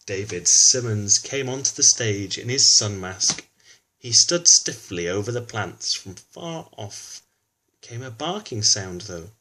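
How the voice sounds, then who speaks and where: A man's voice: lowish voice, lively intonation, slow, bright, forceful tone, slight background noise, low-quality recording. A man reads a children's storybook aloud expressively, adding informal explanatory asides for a young listener. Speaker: children's book reader.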